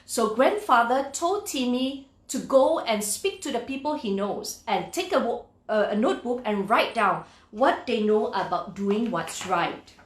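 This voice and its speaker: Lady reading a kids book to children, highish voice, takes her time, kinda teacherly, echoey room. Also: animated. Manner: slow, deliberate, instructive. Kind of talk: expressive children's read-aloud with asides to young listeners.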